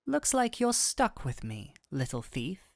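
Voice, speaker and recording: Slow, gentle woman doctor, acted, low-pitched. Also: very animated, creaky, no room sound, studio quality, heavily acted. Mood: firm, protective. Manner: soft, soothing, unhurried, earnest. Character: gentle, firm fantasy doctor woman, protective and caring. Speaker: voice actor in roleplay audio. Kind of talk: intimate scripted roleplay audio addressed to the listener.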